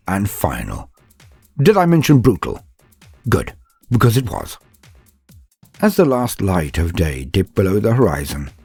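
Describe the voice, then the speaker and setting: A man's voice: on the low side, darkish tone, husky, no echo. A man narrating a comedic fantasy war story in a dramatic, mock-epic way with wry asides. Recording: dry close recording with faint music.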